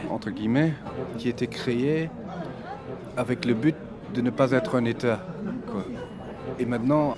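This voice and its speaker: Emphatic man against crowd chatter. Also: medium pitch. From Belgium. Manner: emphatic and opinionated, with repeated restarts. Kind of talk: discussion. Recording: outdoor crowd chatter behind.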